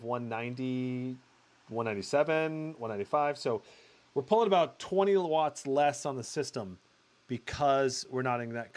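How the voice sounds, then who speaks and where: A man hosting a live tech review, a loud voice, chatting casually through benchmark numbers with plenty of fillers. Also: medium pitch.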